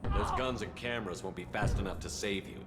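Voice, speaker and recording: An actor playing a tough, no-nonsense military commander in a video game, terse and blunt. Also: male voice, fairly low pitch, brisk, loud music behind the voice, lightly acted. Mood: threatening.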